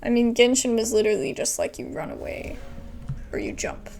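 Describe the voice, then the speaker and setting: Creaky, high-voiced young woman gamer. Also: sing-song, brisk, a little mellow, soft background music. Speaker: gameplay streamer. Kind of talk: casual gameplay commentary.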